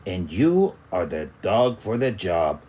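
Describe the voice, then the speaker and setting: A man voicing an earnest, dramatic little male chihuahua with emphatic, drawn-out delivery and mock-Spanish words. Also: deep voice, very animated, dark tone, slightly rough, pitched below the performer's own voice, exaggerated voice. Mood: earnest complaint.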